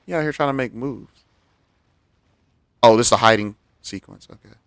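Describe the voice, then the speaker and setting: A man giving casual live commentary on a game in a slangy, joking way, with a gravelly voice. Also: mid-range voice, moderate pace, dry recording. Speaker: game streamer.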